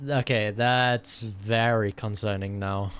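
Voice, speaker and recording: A man's voice: on the low side, steady tone, takes it slowly, a bit bright, slight background noise. A man giving casual live reaction commentary on a game, muttering asides and thinking aloud. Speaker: gaming reaction streamer.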